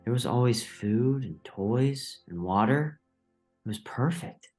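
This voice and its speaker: A man's voice: medium pitch, animated, takes it slowly, a little mellow, soft background music. A man playing a naive, earnest young pet mouse in an audio drama, with a hesitant, pleading manner. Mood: naive, curious.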